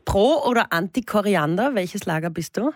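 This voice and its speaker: A woman, co-hosting a radio show and reading absurd horoscopes in a mock-earnest, deadpan way. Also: mid-range voice, normal speed, no echo, clean studio sound.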